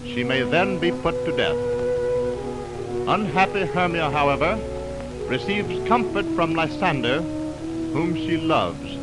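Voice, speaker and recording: Vintage radio announcer, male, formal narration with widely swinging intonation, loud music underneath, 1929 broadcast sound. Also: a little high, big pitch swings, a little slow, pauses often, low-quality recording. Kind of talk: scripted 1920s radio narration. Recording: 1929 radio aircheck on film.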